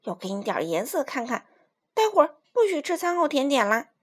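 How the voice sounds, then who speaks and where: A woman voicing an exasperated mother scolding loudly in a storybook reading. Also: high-pitched, very animated, fairly quick. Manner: loud, scolding.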